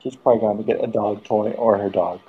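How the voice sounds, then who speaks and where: A man's voice: on the low side, fairly even intonation, quick pace, narrow, tinny audio, slight background noise. A man hosting a casual voice chat stream, teasing his friends and swearing a lot.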